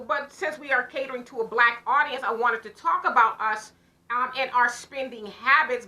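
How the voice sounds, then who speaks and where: Bright, high-voiced woman telling a story. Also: poor audio. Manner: animated storyteller who acts out her own side of conversations. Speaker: podcast co-host. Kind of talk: casual chat.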